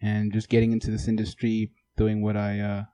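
A young man with a South African accent, co-hosting a casual podcast chat, laid-back and quick to laugh. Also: on the low side, completely flat delivery, mellow. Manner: laid-back, laughs often, fills with "mm-hmm". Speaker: podcast co-host.

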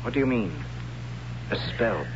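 An actor as a wry, doomed captive. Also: male voice, mid-range voice, animated, unhurried, lightly acted. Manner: wry, ironic, incredulous. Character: cheerful doomed captive man, wry and bewildered. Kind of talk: 1970s radio drama acting. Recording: muffled old radio broadcast with hiss.

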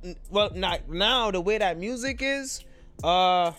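A young man from Trinidad with an American accent, chatting relaxed as a podcast guest and restarting his sentences as he thinks. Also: high-pitched, slow pace, faint music behind. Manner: relaxed, repeats words and restarts while thinking. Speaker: guest musician. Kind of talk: casual podcast chat.

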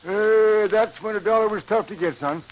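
An actor playing a folksy older henpecked neighbor with a rural American accent in a radio comedy. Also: male voice, high-pitched, moderate pace, lightly acted. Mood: indignant reminiscing. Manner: folksy, rambling, yep-repeating. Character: folksy, henpecked old country neighbor man. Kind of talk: old-time radio sitcom acting. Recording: muffled, hissy old radio recording.

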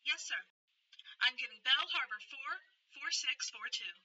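A woman's voice: sits high, moderate pace, bright, forceful tone. A woman playing a polite, efficient hotel switchboard operator in a radio drama, businesslike and deferential. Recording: dull, distant, lo-fi sound.

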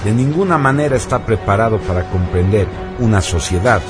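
A man narrates a radio script with a lowish voice and very varied intonation. His delivery is emphatic and declamatory, and music plays clearly behind him. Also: lively intonation, brisk. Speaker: radio presenter. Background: loud background music.

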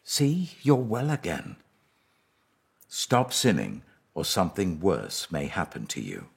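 A man acting out a grave, commanding holy teacher in a scripture reading, with a very deep, husky British voice. He speaks slowly and deliberately, with solemn authority. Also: wildly swooping pitch, full character voice. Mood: firm warning.

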